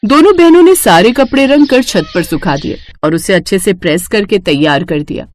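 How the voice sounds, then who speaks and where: A woman reading scripted narration for a cartoon story in an expressive storytelling way. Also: medium pitch, moderate pace.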